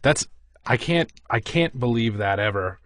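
A man's voice: medium pitch, expressive, measured pace, fairly bright tone, dry recording. Laughing Boston man on a dry studio mic. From Massachusetts. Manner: jokey, laughs often, trails off mid-sentence. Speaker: podcast co-host. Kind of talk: casual comedy podcast chat.

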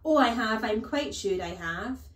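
A woman voicing a bullying, booming headmistress who barks her words slowly and with contempt, for an audiobook. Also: fairly even intonation, reverberant, natural voice. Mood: barking, scornful. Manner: loud, barking, slow and contemptuous. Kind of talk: audiobook character voice.

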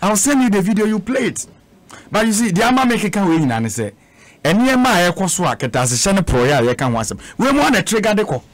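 A man's voice: sits high, big pitch swings, fast talker, no room sound. A middle-aged Ghanaian man arguing insistently as a panelist in a studio radio debate, mixing English and Twi. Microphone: studio microphone on a boom.

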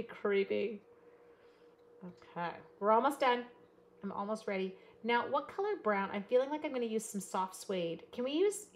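A woman from Canada hosting a live card-making stream, chatting and reading comments while she cuts. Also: a little high. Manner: rambling, animated, reads chat comments aloud. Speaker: card-making livestream host. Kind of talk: chatty live crafting demo. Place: craft room at a desk.

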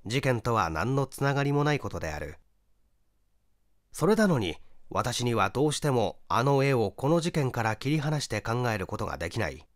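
A male audiobook narrator with a medium-pitched voice and very lively intonation. He reads literary mystery prose in a theatrical, deliberate way, recorded close and dry. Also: no room sound. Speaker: audiobook reader, stage actor. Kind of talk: dramatic scripted narration of a mystery story.

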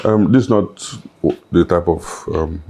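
A man acting a weary manager in a comedy skit. His voice is very low and mellow, and he talks haltingly, politely but firmly sending someone away. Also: breathy edge. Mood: dismissive. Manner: hesitant, politely dismissive. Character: stern, weary male venue manager.

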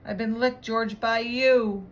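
A woman's voice: on the high side, steady tone, slow, pitched above the performer's own voice. A woman voicing an exasperated woman dog owner who exclaims as she chases her runaway dog in a picture-book read-aloud. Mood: defeated. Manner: exclaiming, crying out.

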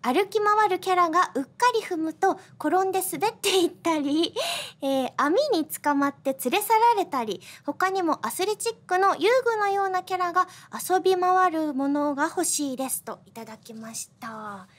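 A woman's voice: very high-pitched, expressive, moderate pace, few pauses, fairly bright tone. A woman co-hosting a web radio show, chatting and presenting corners in a giggly, reactive manner.

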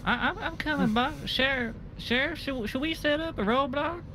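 A man's voice: extremely high pitch, moderate pace, pushed and bright. A man playing an eager, stammering deputy in online game roleplay. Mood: flustered, eager. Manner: stammering, repeats words. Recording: faintly noisy, distant game voice chat.

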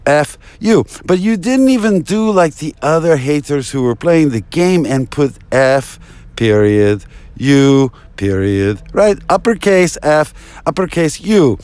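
A man's voice: on the high side, animated, takes it slowly, a little mellow, clear, resonant tone, no room sound. A man delivering a rambling, taunting monologue like a mock phone call, theatrically drawing out and repeating his words.

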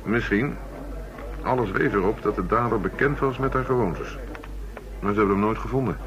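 Low-voiced methodical police inspector played by an actor. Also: male voice, normal speed, natural voice. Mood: businesslike. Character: male police chief inspector methodically reviewing murder cases. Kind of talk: radio drama acting, naturalistic dialogue. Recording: dull lo-fi radio recording, crowd murmur.

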